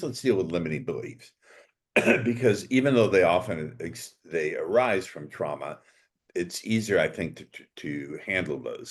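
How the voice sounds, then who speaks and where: A man hosting a podcast interview, playful and chatty, with quick joking asides. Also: low voice. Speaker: podcast host.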